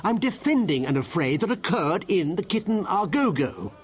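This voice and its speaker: An actor playing a flustered, indignant English barrister in a radio comedy drama, stammering and plaintive. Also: male voice, high-pitched, big pitch swings, normal speed. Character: flustered, indignant male barrister, anxious and hapless.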